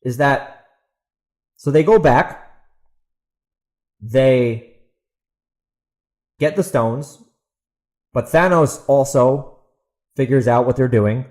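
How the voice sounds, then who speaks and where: Male podcast host, medium pitch, casual and opinionated, emphatic conversational rant. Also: very slow, pauses often, a little mellow.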